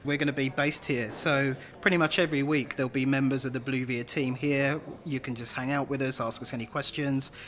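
A man's voice: mid-range voice, fairly even intonation, a little fast, few pauses, a bit bright. A man in his thirties speaking as a company representative in an informal interview. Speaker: developer programme representative. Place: large open-plan office, indoors.

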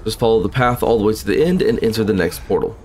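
A man's voice: fairly low pitch, fast, darkish tone, a little hiss or hum. A man narrating a scripted game walkthrough in a matter-of-fact, instructional way.

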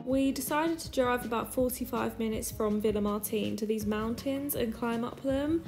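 Young woman vlogging, high-pitched voice. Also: moderate pace, darkish tone, soft background music. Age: 20s. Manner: chatty, informal. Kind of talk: casual holiday vlog chat.

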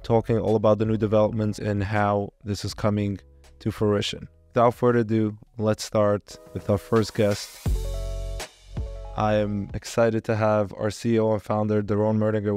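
A man hosting a podcast, introducing and questioning a guest in a measured, halting manner. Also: fairly low pitch, darkish tone, clean tone, no echo, studio quality. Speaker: podcast host, interviewer.

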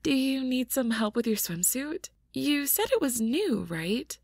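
A woman's voice: high-pitched, sing-song, unhurried, darkish tone, no echo, clean studio sound, exaggerated voice. A woman voicing a caring, anxious, responsible young woman who speaks carefully and breathily in an audio roleplay. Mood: concerned.

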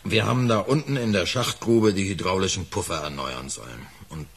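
Husky, low-voiced defensive lift mechanic. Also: male voice. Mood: explaining, uneasy. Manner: defensive, colloquial, halting, repeating himself. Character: defensive working-class lift fitter under police questioning. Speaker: actor in a radio crime play. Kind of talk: naturalistic German radio drama acting.

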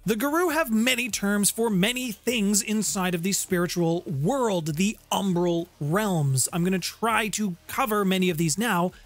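A man's voice: high voice, a little slow. A middle-aged man presenting a scripted explainer on game lore, with playful, theatrical emphasis, close on a studio mic. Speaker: host of a tabletop game lore channel. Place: home room with guitars, indoors. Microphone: close studio condenser mic with pop filter. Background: background music.

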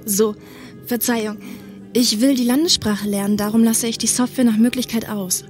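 A woman plays a muscular, polite police newcomer in an audio drama. She speaks directly in a fairly high voice, with soft music behind. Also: steady tone, normal speed, close to an everyday voice. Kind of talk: German audio drama acting.

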